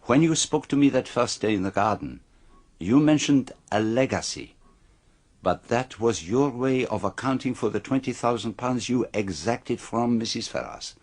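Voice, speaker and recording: A man's voice: medium pitch, expressive, moderate pace, lightly acted. An actor playing a shrewd, courteous older detective in a radio drama, speaking precisely and with formal politeness as he accuses. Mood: accusing, firm.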